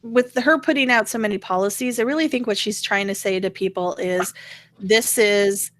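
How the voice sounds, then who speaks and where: A woman's voice: mid-range voice, normal speed, fairly bright tone. An American woman co-hosting a casual political talk show, speaking in an opinionated, irreverent way. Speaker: podcast co-host.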